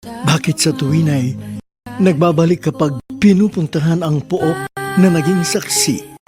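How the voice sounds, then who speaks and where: Filipino host-narrator, medium pitch, sentimental scripted radio drama delivery, music clearly playing underneath. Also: measured pace.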